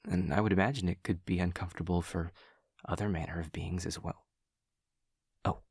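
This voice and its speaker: A man playing an awkward, earnest, literal-minded demon classmate in an intimate audio roleplay, speaking formally and precisely with hesitant pauses. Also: low voice, steady tone, a little mellow, slightly rough, no room sound, clean studio sound. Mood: awkward, sympathetic.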